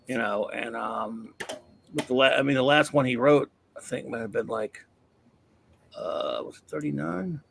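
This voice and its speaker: Gravelly man with blunt opinions. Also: medium pitch, a little hiss or hum. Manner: blunt, opinionated, many restarts. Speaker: podcast host. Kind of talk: casual comics chat.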